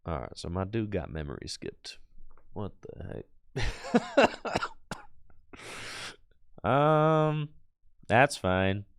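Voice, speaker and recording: A man's voice: on the low side, big pitch swings, extremely slow, creaky, pauses often, no room sound, clean studio sound. A man streaming a card game, losing his voice to allergies, muttering his plays aloud and chatting with viewers. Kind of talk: casual live-stream game commentary.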